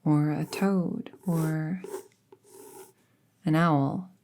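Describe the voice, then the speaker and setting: Soft, low-voiced young apprentice witch, acted by a woman. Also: steady tone, extremely slow, a little hiss or hum, full character voice. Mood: musing, casual. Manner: soft-spoken, hesitant, musing, often trailing into near-whisper. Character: friendly young female apprentice witch, casual and thoughtful. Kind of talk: intimate roleplay audio acting, conversational.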